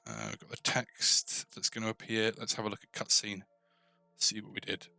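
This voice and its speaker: Low, bright-voiced man thinking aloud while coding. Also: sing-song, creaky, soft background music. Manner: informal, tentative, pauses mid-thought as he works. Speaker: host of a coding tutorial stream. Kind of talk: casual live coding walkthrough, thinking aloud.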